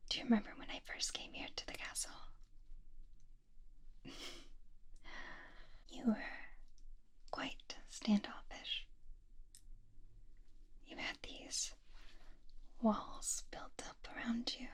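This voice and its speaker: A woman voicing a gentle, bookish young woman who is doting and kind, speaking in a breathy whisper for an ASMR roleplay, soft, tender and reassuring. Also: fairly high pitch, flat delivery, slow, pauses often, full character voice.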